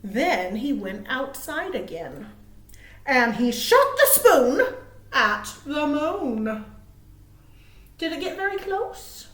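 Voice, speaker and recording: A woman reading aloud to small children as a storytime host, cheerful and expressive. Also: high voice, takes it slowly, long pauses, noisy background. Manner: cheerful, expressive, asks listeners questions. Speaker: children's librarian hosting storytime. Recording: roomy, echoey sound.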